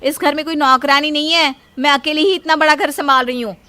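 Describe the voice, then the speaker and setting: A woman voicing a sharp-tongued, taunting young daughter-in-law in a family story. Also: high voice, fairly even intonation, fairly quick, no echo, voice pushed up. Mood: scornful nagging. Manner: taunting, complaining.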